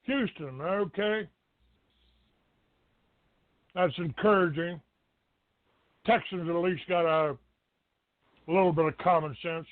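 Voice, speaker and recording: A man's voice: sits high, slow pace, pauses often, dry recording. A man hosting a live call-in show in an abrasive, combative, foul-mouthed way. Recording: tinny phone-grade dry audio.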